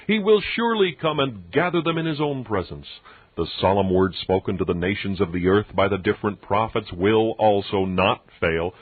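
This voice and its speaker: A man reads religious text aloud as an audiobook narrator, in a solemn, measured and emphatic preacherly manner. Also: fairly low pitch, husky, no room sound. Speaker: audiobook reader. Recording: dull muffled radio-like sound, very dry.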